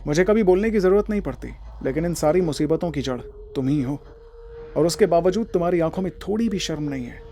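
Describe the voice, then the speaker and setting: A man's voice: on the high side, expressive, quick pace, mellow. A man playing a blunt, defiant protector who challenges his elders in an audio drama. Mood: menacing. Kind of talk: Hindi audio drama acting.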